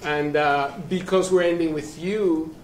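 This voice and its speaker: A man's voice: a little high, steady tone, unhurried. A man hosting a live panel discussion at a museum, introducing guests in a chatty, rambling way with many restarts. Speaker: panel moderator. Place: event room at a museum. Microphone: live stream. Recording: roomy sound from a distance with faint noise.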